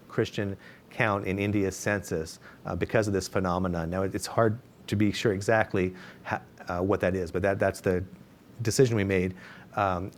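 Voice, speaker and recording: A man with a lowish voice explains research findings at a panel discussion, careful and methodical, often pausing with fillers and restarting his sentences. A faint low rumble sits behind him.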